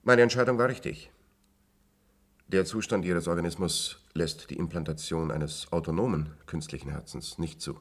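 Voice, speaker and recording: An actor playing an authoritative institute doctor in a radio drama, precise, cool and matter-of-fact. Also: male voice, low-pitched, normal speed. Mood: cool, clinical. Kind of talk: German radio play acting.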